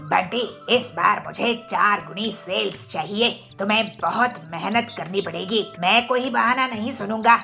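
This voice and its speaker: A woman putting on a bullying rich young man for a cartoon tale. The voice is sharp and gravelly, harsh and demanding in manner. Faint music sits behind it. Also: medium pitch, moderate pace, few pauses. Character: greedy, bullying young man, spoiled merchant's son.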